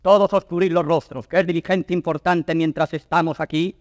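An intense, commanding man of classical tragedy, played by an actor, with a Castilian accent. He declaims verse gravely in a fairly high voice with a long reverb. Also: steady tone, a little fast, natural voice. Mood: stern command. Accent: Castilian Spanish. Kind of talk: classical Spanish verse stage acting.

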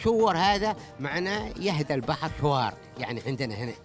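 A man's voice: on the high side, sing-song, quick pace, over music. An older man with a Hadhrami accent, a passer-by agreeably answering a street quiz. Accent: Hadhrami Arabic. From Yemen. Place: outdoor street by a wall. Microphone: handheld mic held close.

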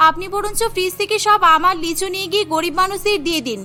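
A woman's voice: very high-pitched, fairly even intonation, fast talker, pushed and bright, soft background music. A woman voicing an earnest, dutiful young daughter-in-law in a cartoon, polite but aggrieved, with a pleading manner. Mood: obliging. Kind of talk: cartoon voice acting.

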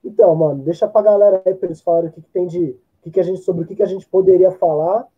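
Husky, high-voiced man on a video call. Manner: relaxed storyteller, drawn-out slang asides. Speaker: podcast co-host. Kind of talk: casual chat on a video call. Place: home room with bookshelves. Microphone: laptop or earphone mic on a video call. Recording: dull lo-fi video call audio.

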